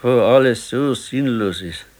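A man's voice: mid-range voice, measured pace, a little mellow, natural voice. An actor playing a discontented, regretful husband in his sixties in a naturalistic radio play, talking plainly with a Bavarian accent. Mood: brooding regret. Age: 60s. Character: discontented, regretful ailing 68-year-old husband. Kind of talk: naturalistic 1970s radio play dialogue in dialect.

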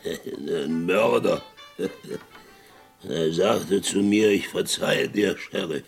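An old whisky-drinking sheriff in a 1960s radio western, with a heavily creaking, gravelly voice. He talks slowly and haltingly, haunted yet boastful, repeating himself dramatically. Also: sits low, soft background music, full character voice. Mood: brooding, bitter. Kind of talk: 1960s German western radio play acting.

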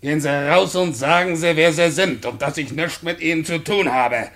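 An actor playing an embittered middle-aged tavern keeper and father in a radio drama, intense and insistent, with a rough-edged husky voice. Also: fairly high pitch, moderate pace, fairly bright tone. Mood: angry, agitated. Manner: intense, insistent, repeating himself. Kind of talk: 1950s German radio drama acting.

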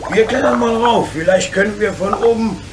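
An actor playing a steady, fatherly locomotive driver in a children's radio play, plain-spoken and reassuring. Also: normal speed, over a noisy crowd, lightly acted. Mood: puzzled, curious. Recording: loud rumbling train noise behind.

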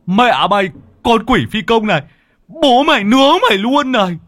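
A man voicing a furious, reckless village man, yelling curses with a Northern Vietnamese accent in an audio story. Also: very high voice, lively intonation, moderate pace, voice pushed up. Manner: yelling, cursing.

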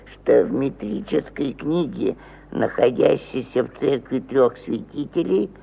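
A man's voice: medium pitch, gravelly, breathy edge, exaggerated voice. An actor playing a pompous, aggrieved provincial landowner who ponderously reads out his petition in a theatrical radio play style. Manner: pompous, ponderous, with stumbles over words. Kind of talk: theatrical mid-century radio play acting. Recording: phone-like narrow-band old 1950 radio recording.

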